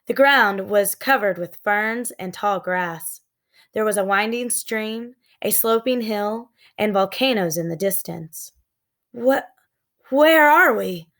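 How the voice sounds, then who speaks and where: A woman reading a children's story aloud as expressive narration, with measured, suspenseful phrasing. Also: medium pitch. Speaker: storybook reader.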